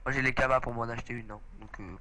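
A man's voice: mid-range voice, quick pace. A young man, a bit stuffy from a cold, hosting a casual let's play chat and exclaiming often. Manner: excitable, chatty, often exclaims. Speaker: gaming channel host.

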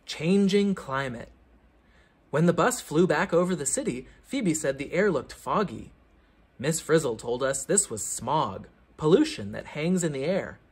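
A man with a fairly high, husky voice reads aloud for children. His pitch swings in a very lively way, with theatrical emphasis and teacherly, explanatory phrasing. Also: unhurried. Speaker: children's book reader, teacher. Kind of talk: expressive read-aloud of a children's science book.

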